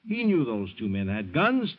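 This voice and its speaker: A man's voice: medium pitch, huge pitch swings, normal speed. Stern rich father, middle-aged, formal, swooping intonation, dull old radio recording. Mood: puzzled. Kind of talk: old-time radio crime drama acting.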